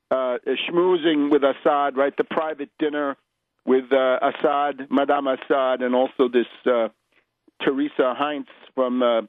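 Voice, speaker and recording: A man delivering an unscripted political radio monologue in a scornful, emphatic, opinionated manner, with halting, deliberate phrasing. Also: a little high, no room sound. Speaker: political radio commentator and host. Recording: dull, lo-fi radio audio.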